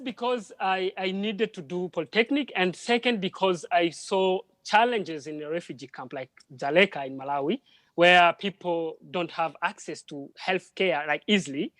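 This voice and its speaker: A man from DR Congo, a French speaker, speaking as a panel guest in halting English full of restarts. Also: high-pitched, no room sound. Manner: halting, with frequent restarts and repeated words. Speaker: panel guest, drone project worker. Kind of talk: live panel conversation.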